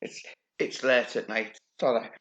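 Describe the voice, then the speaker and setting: A man's voice: mid-range voice, fairly even intonation, unhurried, fairly bright tone, reverberant, lo-fi sound. Sardonic man reading the news. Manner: dry, sardonic, swears casually. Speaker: channel host. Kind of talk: reading news aloud with sarcastic commentary.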